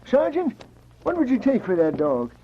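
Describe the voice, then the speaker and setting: An actor playing a brash gold prospector in an old radio adventure, talking in folksy frontier slang. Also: male voice, extremely high pitch, huge pitch swings, moderate pace, exaggerated voice. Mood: grateful, hearty. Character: brash, grateful gold prospector just rescued from drowning. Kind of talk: vintage radio adventure acting. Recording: dull, noisy old radio recording.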